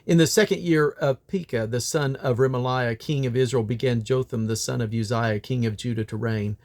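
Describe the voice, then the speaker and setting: A man's voice: medium pitch, steady tone, moderate pace, a little mellow. A man reading Bible scripture aloud in old King James English, in a solemn, declamatory manner. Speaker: Bible reader.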